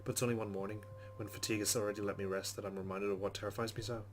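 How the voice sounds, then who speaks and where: A man's voice: on the low side, little pitch movement, rapid-fire, slight background noise. A man hosting a let's play, reading game narration aloud dramatically and adding muttered, sweary asides. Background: game music.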